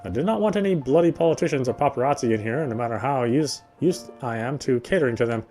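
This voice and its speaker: A man reads a visual novel script aloud in a mid-pitched voice. He delivers it as story narration, with soft music playing underneath. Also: lively intonation, fast. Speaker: let's player reading a visual novel aloud.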